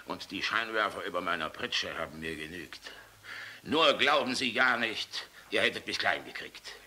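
An actor playing a defiant, bitter German general in a radio play, gruff, blunt and sardonic in manner. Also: male voice, deep voice, lively intonation, moderate pace, sharp tone, exaggerated voice. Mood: angry, defiant.

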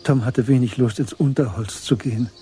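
A man narrating a German literary radio play in a measured, sober storytelling manner. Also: mid-range voice, dark tone, close to an everyday voice. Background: music.

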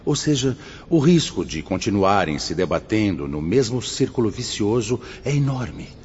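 Solemn deep-voiced Brazilian spirit mentor. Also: male voice, big pitch swings, a little mellow, a little hiss or hum. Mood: grave, instructive. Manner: measured, didactic, preacherly. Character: solemn, fatherly male spirit mentor guiding suicide souls. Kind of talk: scripted radio drama acting. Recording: muffled audio with music underneath.

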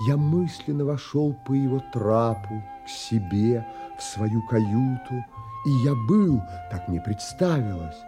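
An actor playing a pensive, dreamy, unsettled gentleman who narrates an audio play in measured, dramatic phrases. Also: mid-range voice, animated, over music, close to an everyday voice. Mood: pensive, wistful.

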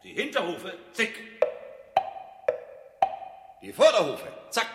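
Excitable, high-pitched circus horse drillman. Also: slow, full character voice. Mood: barking, pompous. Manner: clipped staccato command phrases. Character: excitable circus man proudly drilling a dressage horse, touchy. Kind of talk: absurdist radio drama acting. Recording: long reverberant hall, music behind.

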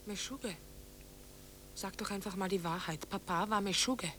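An actress in a German radio drama playing a haunting, taunting wife who coaxes in a hushed, intimate, sometimes whispering way. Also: female voice, medium pitch, moderate pace, a little hiss or hum, close to an everyday voice.

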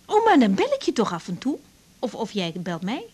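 A woman's voice: on the high side, huge pitch swings, brisk, darkish tone, husky, exaggerated voice. An actress playing a frank young woman doctor in a naturalistic Dutch radio drama, hesitant and informal in her speech. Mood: warm, hesitant. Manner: hesitant, repeating words, informal. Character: young woman doctor, frank, caring but easily thrown.

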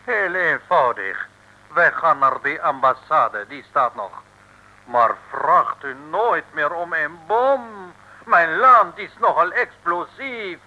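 An actor playing a pompous, threatening foreign agent in a comic radio serial, with a formal, commanding, declamatory way of talking. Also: male voice, fairly high pitch, animated, slow, sharp tone, exaggerated voice. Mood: imperious. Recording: dull, hissy old radio recording.

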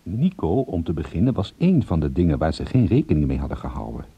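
A man's voice: low voice, huge pitch swings, quick pace, vocal fry, slightly rough, no room sound, highs cut off, full character voice. An actor playing a wry private detective, narrating his own story drily and intimately in a radio drama. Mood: wry, suspenseful.

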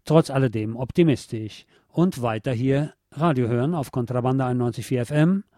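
A man's voice: medium pitch, a little fast, dark tone, dry recording, clean studio sound. A man presenting local news on the radio in a scripted reading style.

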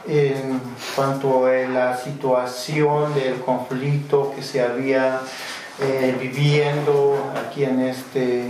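A man answers as a community council member. He speaks very slowly and deliberately, in plain words with frequent fillers. He is picked up from a distance in a very echoey room. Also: mid-range voice, darkish tone. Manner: deliberate, many fillers like "este", plain rural phrasing. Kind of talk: slow spoken testimony in an interview. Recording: very echoey room, distant mic, faint noise.

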